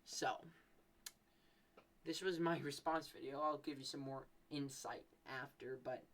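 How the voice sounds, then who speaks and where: A man's voice: medium pitch, fairly even intonation, a little slow, a bit bright. A young man hosting a podcast, telling a story casually in a rambling, playfully exaggerated way. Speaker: podcast host.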